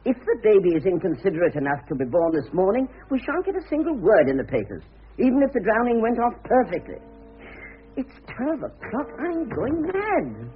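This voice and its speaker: An actor in a comic radio drama playing an impatient, excitable schemer with a brusque, sarcastic, interrupting manner. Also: male voice, high voice, fairly quick, soft background music. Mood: agitated. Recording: tinny, narrow-band radio recording.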